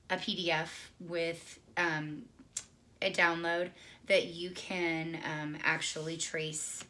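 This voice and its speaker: A woman with a scratchy, hoarse voice from being sick, casually talking through a painting tutorial with lots of ums and restarts. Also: lowish voice, bright, forceful tone. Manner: chatty, many ums and restarts. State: hoarse, recovering lost voice. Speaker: painting instructor.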